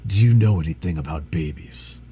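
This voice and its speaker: A man voicing a tired, fussy male dragon who grumbles in a low, sleepy way. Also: fairly quick, warm tone, a little hiss or hum, lightly acted. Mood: pleading. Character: weary whining male dragon, big and fussy.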